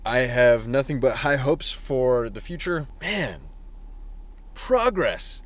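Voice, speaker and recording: A man's voice: mid-range voice, animated, slow. Upbeat young man vlogging casually. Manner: upbeat, jokey, rambling storyteller. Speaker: startup founder vlogger. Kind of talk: casual vlog chat.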